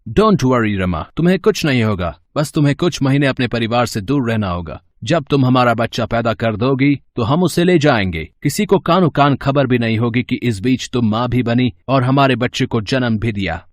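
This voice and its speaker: A man's voice: medium pitch, lively intonation, normal speed, few pauses, dry recording, clean studio sound, close to an everyday voice. A man playing a well-off foreign husband in a dramatised moral story. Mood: reassuring.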